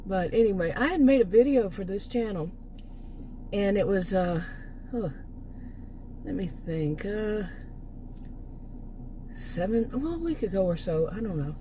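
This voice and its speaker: A middle-aged woman, hoarse from losing her voice, talking in a rambling, unscripted way with many restarts and asides. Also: medium pitch, expressive, a little slow, long pauses, mellow, a little hiss or hum. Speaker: vlogger. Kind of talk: casual unscripted monologue.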